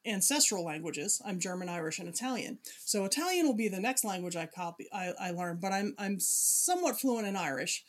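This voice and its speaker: A woman talking animatedly and digressively in a casual conversation, often laughing. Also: medium pitch.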